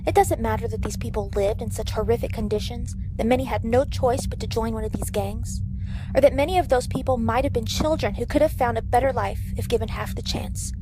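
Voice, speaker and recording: An actress plays a female starship doctor in a science fiction radio play, set against clearly noisy ambience. Her voice is fairly high. She is outspoken and righteous, with a sarcastic bite. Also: brisk, lightly acted. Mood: indignant. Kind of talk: sci-fi audio drama acting.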